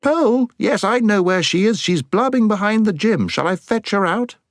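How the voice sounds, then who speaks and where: High squealy school bully kid, taunting, shouty, storybook reading. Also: male voice, lively intonation, normal speed, no room sound, clean studio sound. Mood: jeering, gloating. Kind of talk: audiobook character voice.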